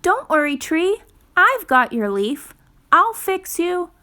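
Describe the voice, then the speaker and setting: A woman's voice: very high voice, sing-song, slow pace, bright, forceful tone, pitched above the performer's own voice, heavily acted. A woman voicing an earnest, anxious little animal hero in a storybook, with a pleading, exclamatory way of talking. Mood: reassuring, gentle.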